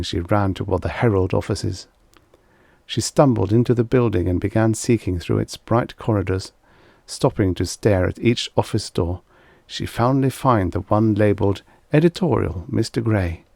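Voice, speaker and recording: A man's voice: fairly low pitch, gravelly, warm tone, no echo, studio quality. A man reading a vintage science fiction short story as scripted audiobook narration.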